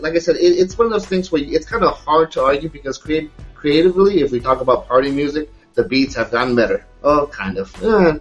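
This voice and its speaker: Higher-voiced man in casual hip-hop debate. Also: muffled recording. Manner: rambling, many restarts and "like I said". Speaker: podcast co-host.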